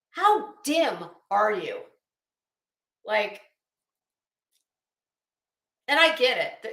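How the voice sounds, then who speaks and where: Woman livestreamer ranting at chat, really high voice, echoey room, sarcastic and blunt. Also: bright, forceful tone. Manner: emphatic, sarcastic, challenging; blunt, coarse language. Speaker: livestream host. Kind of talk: casual livestream rant to a chat audience.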